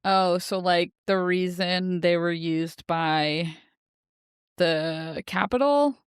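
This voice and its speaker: A woman's voice: fairly low pitch, slow, smooth, no echo, clean studio sound. A woman co-hosting a podcast, chatting casually about a book in a jokey, questioning way. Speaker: podcast co-host. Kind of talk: casual book discussion chat.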